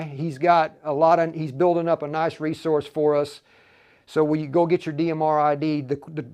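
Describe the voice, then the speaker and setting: A man's voice: fairly high pitch, a little mellow, smooth. A man presenting a live tutorial as an instructor, explaining casually in a folksy way and talking straight to his viewers. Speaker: livestream host and instructor.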